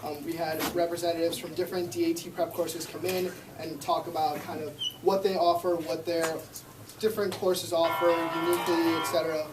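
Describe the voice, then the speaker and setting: A man's voice: on the high side, flat delivery, brisk, over music. A young man leading a student club meeting in a loose, upbeat way, handing out awards and introducing guests. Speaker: club president running the meeting. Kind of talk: casual meeting emcee. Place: classroom meeting. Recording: echoey room, distant mic.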